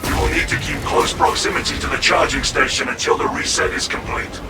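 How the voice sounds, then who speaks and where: A terse commanding officer. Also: male voice, a little high, steady tone, fairly quick, creaky, reverberant, distant mic, loud music behind the voice. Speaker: lieutenant giving orders.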